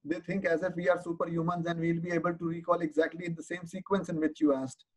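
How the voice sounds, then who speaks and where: A man's voice: on the high side, little pitch movement, quick pace. A man, a teacher answering questions in a live online session, earnest and explanatory, often repeating words as he searches for them.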